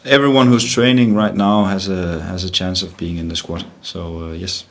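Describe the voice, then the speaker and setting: Danish guy, middle-aged, low voice, stops and restarts a lot, football manager at a press conference. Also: fairly even intonation, vocal fry, slight background noise. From Denmark. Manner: halting, repeats words while searching for phrasing.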